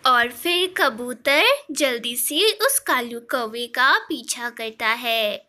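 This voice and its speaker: A woman's voice: a little high, slow pace, bright, forceful tone. A woman narrating a scripted children's story.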